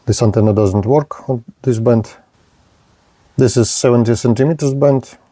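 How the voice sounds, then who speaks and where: A man narrating a product review and unboxing in short, halting, plain phrases. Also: mid-range voice, warm tone, dry recording. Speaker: gadget reviewer.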